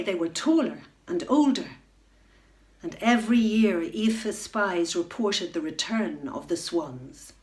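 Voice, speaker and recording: A middle-aged woman reading a children's bedtime story aloud at home, expressive, into a webcam. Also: medium pitch. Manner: expressive, rising to loud excitement in dramatic moments. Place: room at home.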